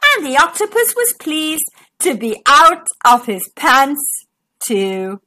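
High, bright, animated woman storyteller. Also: slow. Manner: expressive, dramatic emphasis. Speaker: children's storybook narrator and channel host. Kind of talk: scripted read-aloud for young children.

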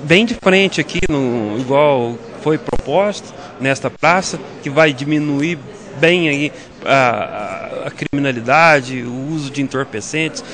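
A man in his forties with a Brazilian Portuguese accent, a councilman giving a quick, insistent interview answer in a council chamber into a handheld mic. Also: mid-range voice, a crowd in the background. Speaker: city councilman. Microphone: handheld reporter mic.

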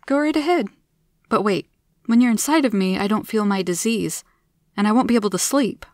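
A woman voicing a warm, eager, chronically ill woman who befriends an alien in a story, expressive and open, over background noise. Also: medium pitch, a little fast, no echo, clean studio sound, close to an everyday voice. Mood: hesitant.

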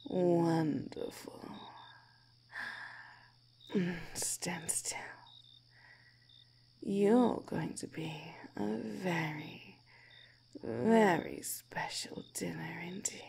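A woman voicing a cruel, hungry giant snake woman in a teasing ASMR roleplay, breathy and whispery, savoring and gloating. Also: medium pitch, mellow, exaggerated voice. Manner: slow, teasing, gloating, savoring each phrase.

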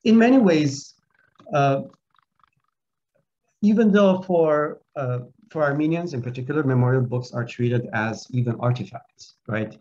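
Middle-aged male panelist, earnest lecturing with halting fillers, video call sound. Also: mid-range voice, animated, darkish tone. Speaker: conference panelist. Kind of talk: academic conference talk. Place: home office with curtains. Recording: muffled video call audio.

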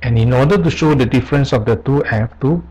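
A man presenting an unscripted software tutorial, explaining step by step in short, halting phrases. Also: mid-range voice, husky, low-quality recording. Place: voice-over on a screen recording.

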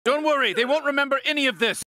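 A man voicing a grumpy, uptight, pompous alien spaceship leader in an animated cartoon game, exasperated and emphatic. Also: very high voice, fast talker, no echo, studio quality.

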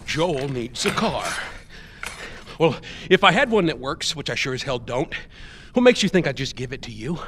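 A gruff middle-aged American survivalist, in a cinematic game. His voice is forceful and swings widely in pitch, with foul-mouthed sarcasm and a paranoid edge. Faint noise and clicks sit behind him. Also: very animated, moderate pace. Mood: sarcastic, irritated. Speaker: game character actor.

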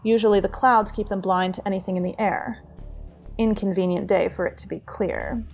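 A woman's voice: mid-range voice, fairly even intonation, moderate pace, creaky, darkish tone, lightly acted. A woman playing a wary, well-informed informant in a fantasy audio drama, briefing formally and matter-of-factly. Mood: dry, guarded. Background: music.

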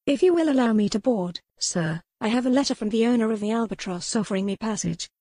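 Lady doing a bossy young noblewoman in an audiobook, pitched up, formal, demanding. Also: expressive, fast talker, mellow, dry recording. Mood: firm. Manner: insistent, commanding, formal. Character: headstrong, imperious young noblewoman traveler. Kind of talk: audiobook character dialogue.

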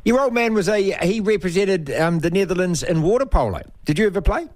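A man's voice: sits high, animated, a little fast, a little mellow, no room sound, studio quality. A man with a New Zealand accent hosting a radio interview in a chatty, informal way. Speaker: radio show host. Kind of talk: interview questions.

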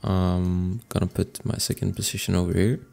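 A man with a low, creaky voice narrates a casual software tutorial. He explains each step informally, close to the microphone. Faint music plays behind him. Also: level tone, normal speed. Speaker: tutorial presenter. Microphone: close desktop microphone voice-over.

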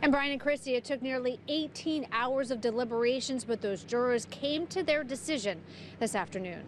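A woman's voice: high voice, moderate pace, slight background noise. An American woman giving a live news report outdoors into a handheld microphone. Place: outdoors outside a courthouse.

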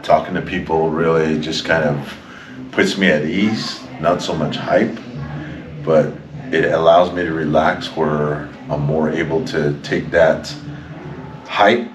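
A man's voice: extremely low pitch, steady tone, takes it slowly, over music. A man from New Mexico giving reflective, conversational answers as a performer in an interview, recorded at a distance. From New Mexico, United States. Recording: roomy, distant mic, clear background noise.

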